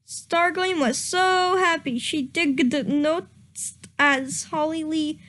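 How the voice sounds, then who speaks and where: Young woman, high voice, slow deliberate reading, giggly, trips over words, streamer. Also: steady tone, a bit bright. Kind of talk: comedic dramatic reading.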